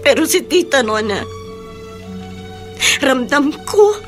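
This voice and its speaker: Radio drama: wounded, resentful young Filipina, high voice, emotional delivery. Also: female voice, big pitch swings, takes it slowly, faint music behind, exaggerated voice. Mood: grieving, bitter. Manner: emphatic, emotional. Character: bitter, wounded young woman, openly resentful.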